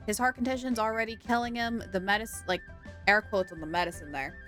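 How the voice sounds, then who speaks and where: A woman livestreaming a game with casual, playful, expressive commentary for her viewers. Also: medium pitch, quick pace. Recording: game music behind the voice.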